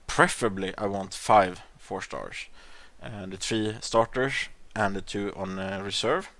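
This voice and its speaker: A man's voice: lowish voice, a little slow, fairly bright tone, no room sound. A man from Sweden with a Swedish accent, casually commenting on his game as he plays, with lots of fillers and restarts. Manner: rambling, hesitant, many fillers and restarts. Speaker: gaming channel host.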